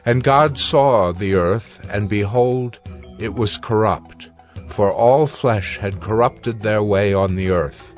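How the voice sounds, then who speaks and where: A man narrating dramatized scripture from a script in a solemn, measured and formal manner. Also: on the low side. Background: music.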